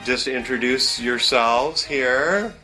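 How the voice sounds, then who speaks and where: A man's voice: medium pitch, slow pace, bright, forceful tone, faint music behind, low-quality recording. A man asking questions as interviewer in a casual, conversational style.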